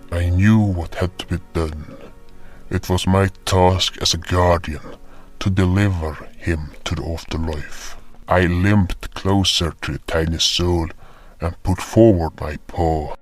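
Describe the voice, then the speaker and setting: A man with a deep voice narrates a scripted tale as a solemn guardian spirit. He takes his time over each line in a measured way. Faint music plays underneath. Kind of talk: first-person scripted story narration. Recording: music behind the voice.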